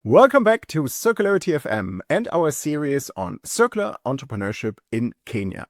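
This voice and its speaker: A man hosting a podcast interview, reading a lively intro and asking questions in a softer, hesitant way. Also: a little high, normal speed, no room sound. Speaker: podcast host.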